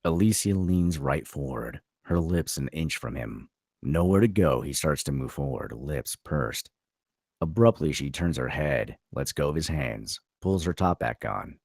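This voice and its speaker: A man's voice: rumbling low, animated, a little slow, darkish tone, no echo, clean studio sound. A man reading out screenplay stage directions as the narrator of a table read.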